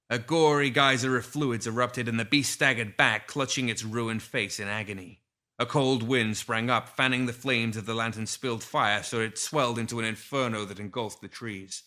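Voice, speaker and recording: A man's voice: mid-range voice, pushed and bright. A man reading scripted fiction aloud as a storyteller, with a theatrical, suspenseful delivery. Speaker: story reader. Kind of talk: scripted dramatic fiction narration.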